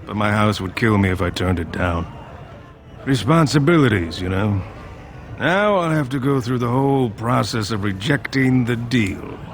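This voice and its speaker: Fantasy game dwarven noble: world-weary and wry, lowish voice with gravelly fry. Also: male voice, fairly bright tone. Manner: world-weary, flippant, conversational. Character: jaded dwarven lord, weary young noble tavern-goer. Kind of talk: video game character dialogue.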